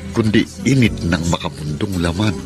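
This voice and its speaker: An actor with a Filipino accent playing an earnest, reflective storyteller in a radio drama. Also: male voice, sits low, moderate pace, over loud music, natural voice. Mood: solemn, disapproving.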